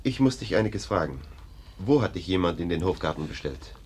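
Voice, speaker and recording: An actor playing a brisk, skeptical police lieutenant in a radio play, giving curt orders and questions. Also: male voice, low-pitched, sing-song, a little mellow, slight background noise. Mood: interrogating.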